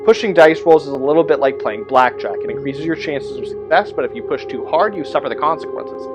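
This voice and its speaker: A man's voice: fairly high pitch, lively intonation, brisk, music in the background. A man hosting a tabletop game rules explainer, partly reading aloud and adding informal asides in an explanatory way.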